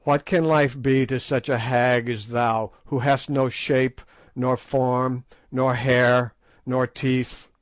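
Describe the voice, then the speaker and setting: A man's voice: medium pitch, flat delivery, slow pace, no echo, natural voice. A man voicing a stern king who speaks in solemn, commanding tones. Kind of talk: audiobook character voice.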